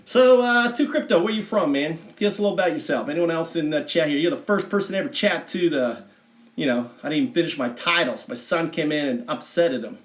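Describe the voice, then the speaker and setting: A man's voice: on the high side, expressive, a little fast, close to an everyday voice. A man putting on an American Southern accent as a brash, folksy redneck livestream host who rambles, boasts and swears casually to his viewers. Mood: folksy, chatty. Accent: put-on American Southern. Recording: roomy, echoing livestream audio.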